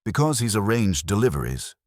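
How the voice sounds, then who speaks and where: A man voicing an anxious, well-bred wife in a detective story, husky, earnest and polite. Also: on the low side, sing-song, brisk, no room sound, studio quality, pitched above the performer's own voice. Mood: worried.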